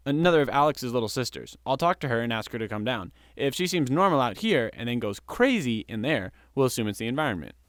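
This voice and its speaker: A man's voice: mid-range voice, very animated, fast talker, hardly any pauses, no room sound, clean studio sound, lightly acted. A man acting a sly, sardonic young restaurant worker in a comedic audio drama. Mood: wry, scheming. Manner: wry, sarcastic, unflappable.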